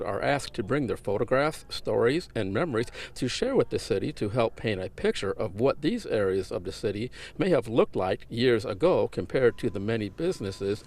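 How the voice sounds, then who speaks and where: A man's voice: fairly low pitch, darkish tone. A man reading scripted local broadcast news as a reporter.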